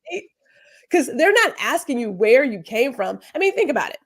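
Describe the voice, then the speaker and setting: Emphatic, animated woman podcast host. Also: sits high, moderate pace. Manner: animated, emphatic storytelling with rhetorical questions. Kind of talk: casual conversation.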